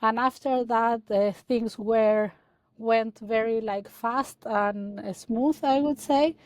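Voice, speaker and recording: Woman from Chile, halting and soft. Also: fairly high pitch, fairly even intonation, no echo. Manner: halting, many fillers and restarts. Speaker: guest, data analyst. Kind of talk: casual interview talk.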